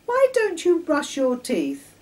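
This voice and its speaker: A woman's voice: high voice, wildly swooping pitch, slow, darkish tone, pitched above the performer's own voice, heavily acted. A woman voicing a superior, nagging young girl from a storybook, scolding and lecturing emphatically. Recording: distant mic, noticeable background noise.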